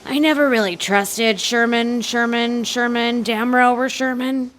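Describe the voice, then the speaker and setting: An actress playing a laid-back, slangy woman castaway in a comedy audio drama, breezy as a slacker. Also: a little high. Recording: faint background ambience.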